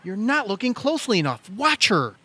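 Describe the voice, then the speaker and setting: An actor playing an obsessive, aggrieved younger brother in a comedic audio play, exasperated and insistent. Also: male voice, sits high, sing-song, fairly quick, sharp tone, heavily acted. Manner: exasperated, insistent, leading questions like a cross-examination. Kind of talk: comedic audio theater dialogue.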